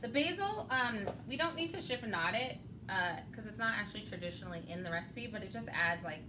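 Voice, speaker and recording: A woman's voice: mid-range voice, animated, brisk, noisy background. A woman hosting a casual cooking show, explaining each step and laughing often.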